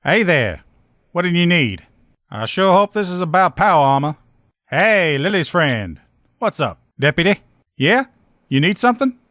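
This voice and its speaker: A man's voice: high-pitched, very animated, unhurried, pauses often, a bit bright, dry recording, studio quality, full character voice. A man playing a brash sheriff's lieutenant and proud armor mechanic in a video game, blunt, sardonic and salty-tongued. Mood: casual, businesslike. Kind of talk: video game character dialogue.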